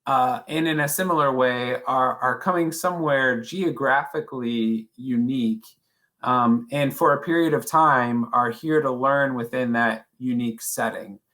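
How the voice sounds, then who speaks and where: A man's voice: mid-range voice, unhurried, bright, forceful tone. A man hosting a podcast interview, asking long, rambling questions with many fillers and restarts. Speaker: podcast host.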